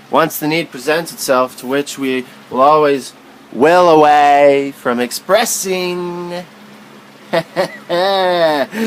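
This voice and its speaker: A man giving a literary spoken-word recitation in a theatrical, halting, wordy way, stumbling and repeating himself. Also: a little high, slight background noise.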